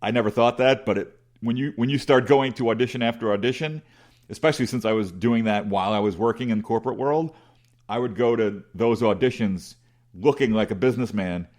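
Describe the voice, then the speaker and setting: A man's voice: mid-range voice, a little fast, darkish tone. A middle-aged man with a big voice, telling rambling, self-deprecating stories as an interview guest with many restarts. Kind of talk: casual conversational storytelling.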